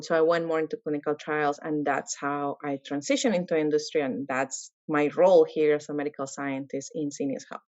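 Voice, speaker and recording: A woman from Colombia, a medical scientist, answering interview questions in a lively way, with many fillers and restarts. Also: deep voice, no room sound, lo-fi sound.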